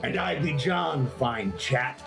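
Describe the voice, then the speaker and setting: An actor playing a gruff, drunken old male Arctic explorer who taunts in a slow, rough drawl. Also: on the high side, animated, music in the background, heavily acted. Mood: teasing.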